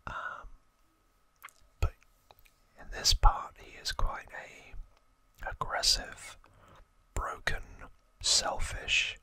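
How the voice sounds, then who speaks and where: A host whispering softly and haltingly close to the microphone, explaining trivia informally in an ASMR style. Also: no room sound. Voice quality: soft unvoiced whisper.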